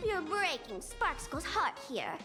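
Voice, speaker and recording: Very high, mischievous young trickster girl. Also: big pitch swings, measured pace. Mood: teasing, boastful. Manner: teasing, sing-song, mock-grandiose. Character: playful, cocky, mischievous young woman trickster. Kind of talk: video game character acting. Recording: long reverb, game music behind.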